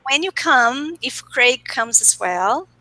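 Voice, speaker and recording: Bright-voiced woman asking questions. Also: high voice, animated, takes it slowly, no echo, low-quality recording. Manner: friendly, asks questions, ends lines with tag questions. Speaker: interviewer. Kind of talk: conversational interview.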